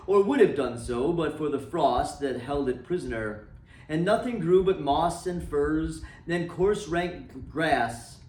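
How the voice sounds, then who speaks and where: A man reading a classic novel aloud for a library, dramatic and expressive, letting his voice sink low and soft at the ends of phrases. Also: echoey room, distant mic, rough recording.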